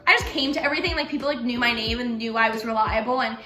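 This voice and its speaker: A young woman whose first language is Russian talks in a quick, chatty way, full of "like"s and fillers. Her voice sits fairly high. The room sounds echoey, and music plays clearly in the background. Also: bright, forceful tone. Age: young adult. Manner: chatty, fast, many fillers. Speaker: student community president. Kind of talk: casual chat.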